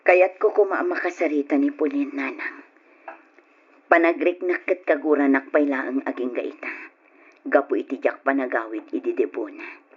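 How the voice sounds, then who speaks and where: A woman playing a loving, apologetic middle-aged mother in an old radio drama, with a Filipino accent and a soft, pleading manner. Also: very deep voice, fairly even intonation, normal speed, breathy edge, muffled recording, heavily acted. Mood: wistful.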